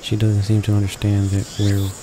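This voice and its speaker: Flat-voiced man reading, low and noisy. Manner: halting, stumbles and corrects himself. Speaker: video game walkthrough host reading aloud. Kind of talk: read-along narration of a visual novel. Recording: noisy home recording with constant background hiss.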